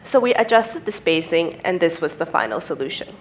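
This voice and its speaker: A young woman whose first language is Thai presents at a design symposium, speaking in a low, steady, explanatory way. She talks into a podium microphone on an indoor stage. Faint room ambience sits behind her voice. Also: a little hiss or hum. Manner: measured, explanatory, steady delivery. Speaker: type designer giving a conference talk.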